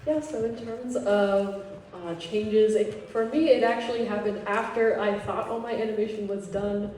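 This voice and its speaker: A woman's voice: mid-range voice, normal speed, darkish tone. Young woman in a long-echo hall. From Texas. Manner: casual, filler-heavy. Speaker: student filmmaker. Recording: echoey hall, distant mic, faint hum.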